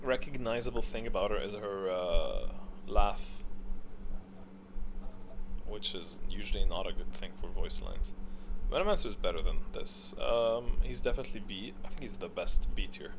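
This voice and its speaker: Male game tier-list host, slightly low voice, off-the-cuff and halting, some background noise. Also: fairly bright tone. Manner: hesitant, rambling, stop-start delivery. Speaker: video host ranking game voice lines. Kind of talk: casual unscripted tier-list commentary.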